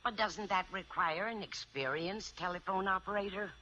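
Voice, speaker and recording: A woman's voice: mid-range voice, normal speed, sharp tone, natural voice. An actress playing an inquisitive older landlady in a 1950s radio sitcom, questioning in a curious, matter-of-fact way. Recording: dull, noisy old radio recording.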